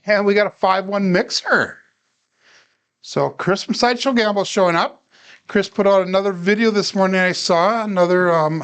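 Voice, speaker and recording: Calm, smooth-voiced man narrating dice. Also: sits high. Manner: calm, steady, talks viewers through each bet. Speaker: craps practice live streamer. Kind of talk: casual play-by-play livestream narration.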